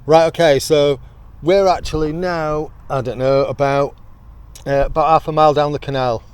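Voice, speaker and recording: A man's voice: on the high side, fairly even intonation, normal speed, a little mellow. A British man chatting casually to camera as a vlogger testing gear, joking in a chummy way with friends. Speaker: vlogger presenting a product test. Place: outdoors on a canal, in a kayak. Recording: clear outdoor water and boat noise.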